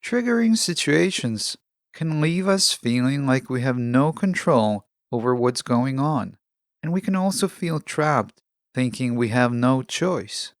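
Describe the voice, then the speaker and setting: A man hosting a podcast, delivering a scripted self-help monologue in measured, deliberate phrases, close on the microphone. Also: mid-range voice, lively intonation, no room sound. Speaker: podcast host.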